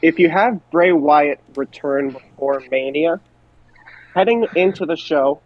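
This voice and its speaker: A man's voice: fairly high pitch, measured pace, no echo, muffled recording. A man co-hosting a podcast in casual group chat, often posing questions to the others. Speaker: wrestling podcast co-host.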